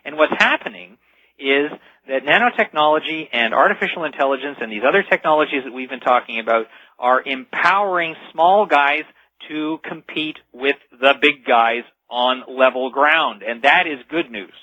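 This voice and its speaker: A man's voice: medium pitch, moderate pace, no echo. A man speaking as an expert guest in a radio interview, explaining earnestly and emphatically, repeating key phrases. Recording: dull, dry lo-fi radio audio.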